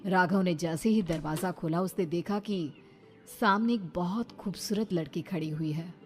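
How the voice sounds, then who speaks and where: A woman's voice: on the low side, a little slow, a little mellow, faint music behind. A woman giving dramatic, scripted narration of a horror story.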